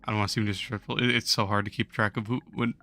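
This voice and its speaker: A hoarse young man losing his voice, chatting casually with viewers on a gaming livestream and chuckling often. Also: lowish voice, little pitch movement, rapid-fire, bright, forceful tone. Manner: laid-back, chuckles while talking to chat.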